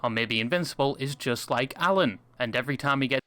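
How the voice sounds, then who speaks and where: A man's voice: mid-range voice, fast talker, pushed and bright, no echo. A man narrating a scripted video review with wry, crude humour. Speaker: video essayist.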